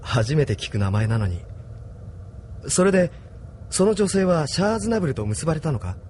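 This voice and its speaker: A man playing a thoughtful, homesick young resistance leader in an audio drama, soft-spoken and reflective. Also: a little high, very animated, fairly quick, slight background noise. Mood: pensive. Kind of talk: anime-style audio drama acting.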